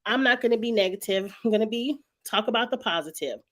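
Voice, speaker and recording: A woman hosting a casual review vlog, chatty and emphatic, her voice slightly raspy and stuffed up from a cold. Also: on the high side, fast, fairly bright tone. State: lingering cold with sinus congestion, clearing throat often. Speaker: YouTube show host reviewing reality TV. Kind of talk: casual vlog commentary to viewers.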